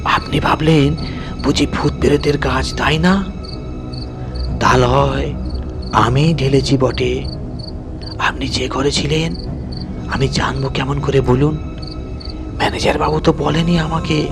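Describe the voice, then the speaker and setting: A man voicing a meek servant woman in a horror audio story, hushed, deferential and matter-of-fact in rustic dialect. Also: medium pitch, huge pitch swings, slow, long pauses, music in the background, heavily acted. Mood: meek, explaining.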